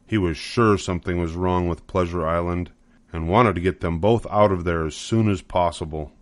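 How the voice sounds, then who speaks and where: An older man reads a children's story as its narrator. His voice sits fairly low and is recorded close and dry, with scripted storybook phrasing. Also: fairly even intonation, moderate pace, dry recording.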